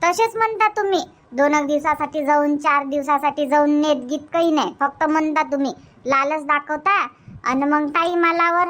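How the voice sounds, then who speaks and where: Casting: young village wife played by an actor, cartoon, very high childlike pitch, Varhadi accent, complaining and sarcastic. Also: normal speed, a bit bright. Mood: aggrieved, sarcastic. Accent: Varhadi Marathi from Vidarbha. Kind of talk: cartoon comedy dialogue.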